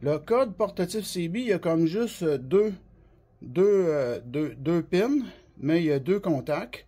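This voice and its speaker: A notably high-pitched man speaks with a Québécois French accent while fighting the flu. He explains products informally, step by step, in colloquial language. He often repeats his points as he demonstrates. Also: lively intonation, measured pace, mellow. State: has the flu, coughing now and then. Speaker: CB radio specialist giving a product demonstration. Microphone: phone held by the speaker.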